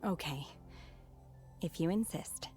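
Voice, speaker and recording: A woman's voice: low voice, slow. A woman voicing a reserved, solemn young ascetic in a video game, with a restrained, formal and earnest manner. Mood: resigned, calm. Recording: soft game music behind.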